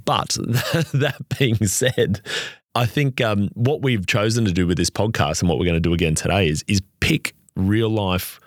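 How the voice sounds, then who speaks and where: A man with an Australian accent and a noticeably nasal voice speaks in a deep register. He explains things at length and earnestly, sometimes restarting his sentences. The sound is dry and close. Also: moderate pace, no room sound. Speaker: podcast co-host, property adviser. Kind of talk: conversational podcast explanation.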